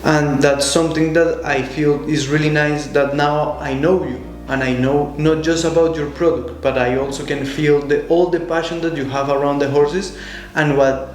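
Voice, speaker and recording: A young adult Colombian man with a Spanish accent, speaking thoughtfully as an interview guest in halting non-native English. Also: fairly high pitch, fairly even intonation. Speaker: guest, show jumper. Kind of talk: conversational interview. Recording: echoey room, faint music.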